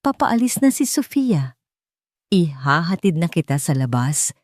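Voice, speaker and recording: A woman's voice: low-pitched, very animated, unhurried, darkish tone, no room sound, studio quality, exaggerated voice. A woman storyteller voicing a powerful rich male landowner, a husband who is cold, formal, curt and firm. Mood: firm, protective.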